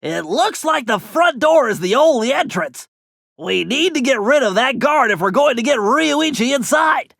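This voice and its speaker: A man's voice: very high-pitched, expressive, moderate pace, creaky, fairly bright tone, no room sound, clean studio sound, full character voice. A man voicing a nerdy, eager brainy gadget expert in a video game, with nasal, precise, wordy delivery. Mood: precise, briefing. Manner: precise, wordy, bookish briefing. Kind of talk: animated video game character voice acting.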